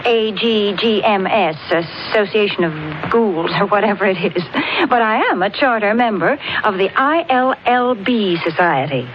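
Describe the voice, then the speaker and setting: A woman's voice: lively intonation, normal speed. A woman delivering a chatty, emphatic sponsor's advertisement in 1940s radio style. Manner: chatty, emphatic sales pitch. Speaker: sponsor's commercial spokeswoman. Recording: muffled old radio broadcast, slight noise.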